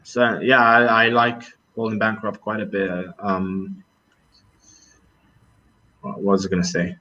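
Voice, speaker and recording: A young man with a lowish voice and a bright, forward edge. He talks in a relaxed, halting way as a podcast guest, with lots of fillers and restarts. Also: highs cut off. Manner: hesitant, many fillers and restarts. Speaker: podcast guest, travel video maker. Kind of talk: casual conversation.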